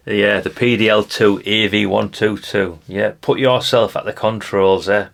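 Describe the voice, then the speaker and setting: A man's voice: fairly low pitch, fairly even intonation, unhurried, vocal fry, fairly bright tone. A British man giving casual, rambling hobbyist commentary to his viewers, chatty and colloquial, often tagging questions onto his remarks. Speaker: hobbyist video host. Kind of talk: casual rambling commentary while leafing through a brochure.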